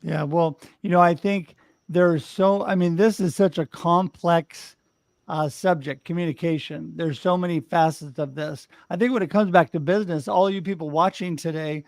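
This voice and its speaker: A man with a notably high voice hosts a talk show over a video call. He talks upbeat and encouraging, and the sound is dry and close. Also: steady tone, moderate pace, darkish tone, no echo. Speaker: show host. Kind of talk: casual interview hosting.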